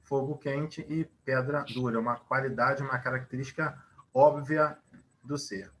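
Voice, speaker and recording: A man's voice: medium pitch, fairly even intonation, normal speed, fairly bright tone, poor audio. A man teaching a Portuguese grammar lesson, explaining at length, asking rhetorical questions and using many fillers.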